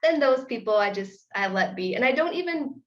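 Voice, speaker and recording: A woman from Chicago with an American accent, a content creator chatting casually as a guest, relaxed and laughing between points. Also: on the high side, fairly quick, highs cut off. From Chicago, USA. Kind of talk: casual interview chat.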